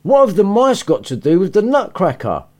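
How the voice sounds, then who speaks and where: A stern, fed-up mother scolds her child in a raised, lively voice, as a man puts her on. She lectures sharply, with lots of lift and fall in her tone. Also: sits high, normal speed. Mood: scolding. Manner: lecturing, indignant.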